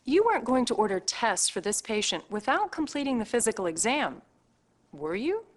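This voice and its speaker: Lively, high-voiced female hospital staffer. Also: normal speed. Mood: brisk, scolding. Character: briskly scolding, encouraging female hospital staffer in a game. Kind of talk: acted game cutscene dialogue addressed to the player.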